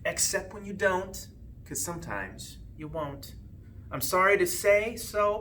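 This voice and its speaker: A man reading a rhyming children's storybook aloud with expressive, sing-song phrasing and drawn-out line endings. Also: fairly high pitch. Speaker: school principal reading a storybook. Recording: distant mic with faint background noise.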